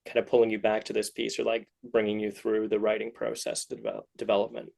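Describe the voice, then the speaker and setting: A man hosting a casual interview, asking questions in a halting way and often rephrasing them midway. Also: fairly low pitch, monotone, vocal fry, darkish tone. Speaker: podcast host, interviewer.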